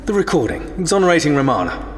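An actress playing a blunt, suspicious general who presses with challenging questions. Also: female voice, low-pitched, very animated, fast, in a large hall, slight background noise. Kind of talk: audio drama acting.

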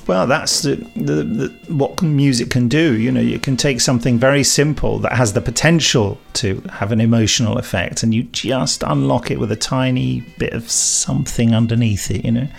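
Wry podcast host, slightly creaky voice. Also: male voice, mid-range voice, normal speed, few pauses, soft background music. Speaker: podcast host, audio drama director and composer. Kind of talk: casual podcast chat, reading listener emails.

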